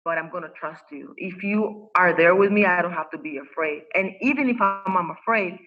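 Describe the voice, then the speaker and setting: A woman's voice: fairly low pitch, normal speed, lo-fi sound. An earnest woman whose first language is Spanish, a life coach talking about her faith in an interview, with many fillers and restarts. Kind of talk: faith-based interview conversation. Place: home.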